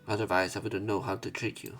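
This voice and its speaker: Lowish-voiced man reading a game aloud. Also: level tone, a bit bright. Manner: halting, corrects himself while reading. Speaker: let's play narrator. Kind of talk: reading visual novel narration and dialogue aloud, with asides. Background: game music.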